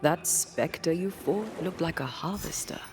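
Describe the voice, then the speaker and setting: A man playing a steady, courteous ghost hunter in a video game, speaking in a measured, earnest way. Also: on the high side, over music, natural voice. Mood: firm. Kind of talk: video game cutscene acting.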